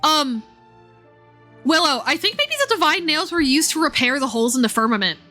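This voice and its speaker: A woman with a fairly high voice hosts a casual game livestream. She thinks out loud excitably, exclaiming and leaving thoughts half finished. Music plays behind her as she talks. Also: fairly quick. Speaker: livestream host playing a game and discussing its lore. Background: game music under the voice.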